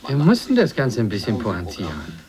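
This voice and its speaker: Lively, low-voiced radio boss. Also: male voice. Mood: placating. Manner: brisk, placating, businesslike. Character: smooth, evasive male radio station boss. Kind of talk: 1980s German radio drama acting.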